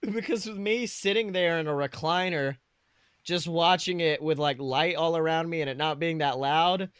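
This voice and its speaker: A man co-hosting a podcast in casual comedic chat, animated and profane, often acting out imagined quotes. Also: fairly high pitch, moderate pace, clear, resonant tone, no room sound, clean studio sound. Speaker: podcast co-host.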